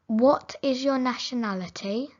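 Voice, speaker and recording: A woman reading simple English phrases for learners, saying each sentence slowly and clearly, then repeating it. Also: on the high side, darkish tone, lo-fi sound. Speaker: English teacher.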